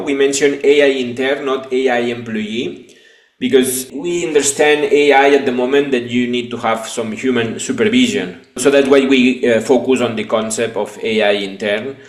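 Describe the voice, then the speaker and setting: A man hosting a live webinar over a video call, his speech halting and full of restarts and a questioning "no?". His voice sits at a middle pitch and carries an echo from the room he is in. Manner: halting, frequent restarts and tag "no?". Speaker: webinar host and business coach. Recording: echoey room.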